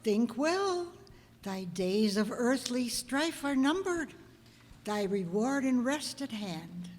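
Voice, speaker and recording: A woman's voice: on the high side, sing-song, takes it slowly, exaggerated voice. A woman playing a stern messenger of God in a radio drama, giving grand, solemn, archaic pronouncements. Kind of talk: old-time radio drama acting. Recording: echoey hall with long reverb.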